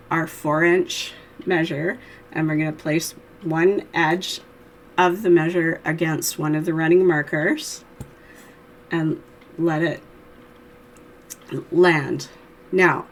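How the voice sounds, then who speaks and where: A woman with a hoarse voice from a cold, teaching knitting in a chatty, friendly how-to tutorial, with little laughs. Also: on the low side.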